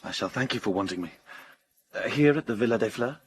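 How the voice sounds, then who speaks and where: Actor as a quick-talking debonair young man. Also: mid-range voice, expressive, slight background noise, lightly acted. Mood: eager, gallant. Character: debonair young gambler, ardent suitor. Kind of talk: radio drama dialogue.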